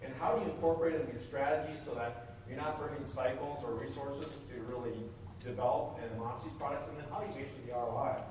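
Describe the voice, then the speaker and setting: A man giving a fast, energetic, interactive presentation to a room of people. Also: mid-range voice. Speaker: conference speaker. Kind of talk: live presentation talk. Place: meeting room with audience. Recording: echoey room from far off with noise.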